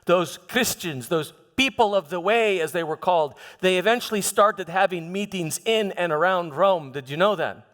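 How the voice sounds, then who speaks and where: A man playing an aging, haunted Roman governor, delivering a reflective, dignified dramatic monologue in measured, halting phrases. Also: high voice, a bit bright. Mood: reminiscing. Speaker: performer in a church dramatization. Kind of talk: dramatic first-person monologue. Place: large church hall. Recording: long hall reverb.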